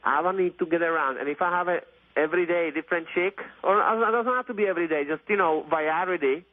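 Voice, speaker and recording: Male radio caller, late 30s, slightly high pitch, gushing and repetitive, thin telephone sound. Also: fast talker, dry recording. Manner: effusive, rambling, repetitive, mildly profane. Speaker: phone-in caller. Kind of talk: casual, opinionated radio call-in chat. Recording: tinny phone line on radio.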